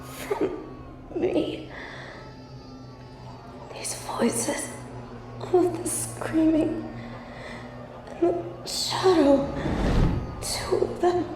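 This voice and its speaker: An actress playing a dazed, tormented fallen angel in an audio drama, murmuring in halting, breathy fragments. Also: female voice, very high voice, noisy background, full character voice. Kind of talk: dramatic audio roleplay acting. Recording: roomy echo under loud music.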